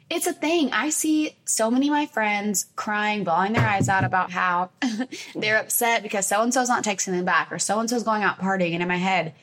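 A young woman co-hosting a podcast, chatty and quick to agree. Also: medium pitch, fairly bright tone. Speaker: podcast co-host. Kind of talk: casual chat.

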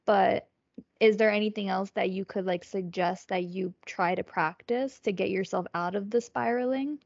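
A woman podcast co-host speaks with a clearly creaky, fry-laden voice at a medium pitch. She talks casually and reflectively, feeling out her phrasing as she goes. The sound is dry and slightly dull. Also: moderate pace, a little mellow, dry recording.

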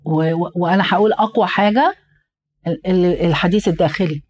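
A young adult Egyptian woman co-hosting a casual podcast, talking in an animated way with a tired, strained voice. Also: lowish voice, fairly quick. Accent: Egyptian Arabic. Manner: animated storyteller, quotes people in lively asides. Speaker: podcast co-host.

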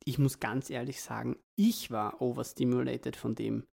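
Young Austrian podcaster, creaky voice. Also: mid-range voice, steady tone, moderate pace, a little mellow. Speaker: podcast host. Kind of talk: casual podcast chat.

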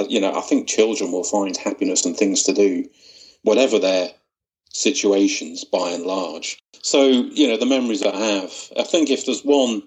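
A man's voice: on the low side, poor audio. A middle-aged man from Widnes, reminiscing as a guest with lots of restarts and small chuckles. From Widnes, North West England. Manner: hesitant, many restarts, chuckles. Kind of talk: casual reminiscing interview.

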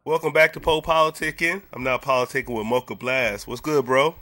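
A man's voice: medium pitch, fairly even intonation, fairly quick, sharp tone, no echo. A man hosting a casual interview, laid-back and agreeable, using easy slang. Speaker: interview host.

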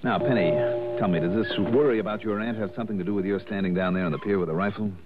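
A man's voice: lowish voice, very fast, over loud music, lightly acted. An actor playing a cool, skeptical insurance investigator in a 1960s radio detective drama, dry and probing in his delivery. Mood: wry, probing. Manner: dry, probing, clipped questions. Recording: narrow-band vintage radio recording.

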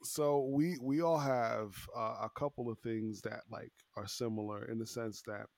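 A man in his thirties from Long Island, hosting a relaxed conversation with friends at a middle pitch. His talk circles back on itself with restarts and frequent check-ins with the others. The recording is dry and close, with little room sound. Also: normal speed, vocal fry, no room sound. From Long Island, New York. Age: 30s. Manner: chatty, repeats phrases, asks "you know what I'm saying". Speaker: podcast host. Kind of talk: casual group chat.

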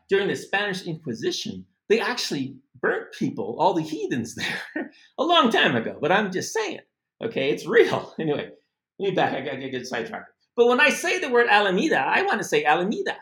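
A middle-aged man from the United States giving a casual spoken language lesson, animated and chatty with asides. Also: high voice, a little fast, echoey room. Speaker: language teacher.

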